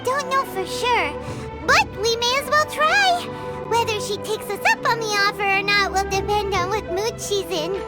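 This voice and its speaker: Excitable small fairy sidekick, squeaky and bouncy, game dub, music bed. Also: female voice. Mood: thoughtful. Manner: squeaky, animated, quick to exclaim. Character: tiny female fairy companion, excitable and chatty. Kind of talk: video game voice acting. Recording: game dialogue over loud music.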